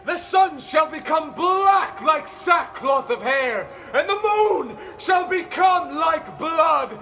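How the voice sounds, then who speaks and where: A man's voice: expressive, takes it slowly, vocal fry, slight background noise, full character voice. A man acting a fierce holy prophet crying doom in an audio play, incantatory and declaimed. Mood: wrathful. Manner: booming, incantatory, shouted.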